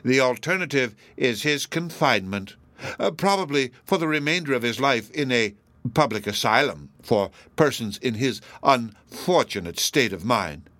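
A man voicing a pompous, menacing elderly judge in a classic novel audiobook, speaking in a formal, measured, self-righteous way. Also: medium pitch, lively intonation, a bit bright, no echo, voice pushed up, close to an everyday voice. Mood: pompous menace.

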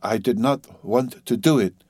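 A man voicing a desperate, ashamed young wife in an audiobook, pleading and halting as the words come out. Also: medium pitch, a little mellow, dry recording, studio quality, close to an everyday voice. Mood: quiet, ashamed. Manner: wailing, halting, gasping, repeating pleas. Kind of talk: dramatic audiobook character reading.

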